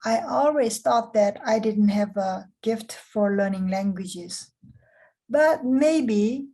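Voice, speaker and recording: Woman from korea hosting a program intro, mellow warm tone, calm even delivery. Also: mid-range voice, poor audio. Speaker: program director. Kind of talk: scripted presentation.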